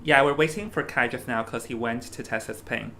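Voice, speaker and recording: A young man from Hong Kong with a Hong Kong accent, chatting casually as an esports commentator, animated and stumbling over restarts. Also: mid-range voice, fairly quick. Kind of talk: casual stream commentary chat. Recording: faint background noise.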